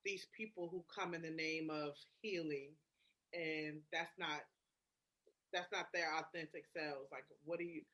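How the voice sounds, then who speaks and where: A woman's voice: deep voice, steady tone, a little slow, a bit bright, dull audio. A woman hosting a candid podcast conversation, slangy and emphatic, often repeating her phrases.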